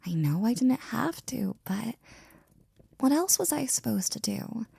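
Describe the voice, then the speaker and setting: A woman playing a flirty, devoted maid in an intimate ASMR roleplay, breathy and often near a whisper, with a teasing, affectionate manner. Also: a little high, sing-song, mellow, no room sound, exaggerated voice. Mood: wistful, flirty. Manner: teasing, affectionate, slow and drawn out.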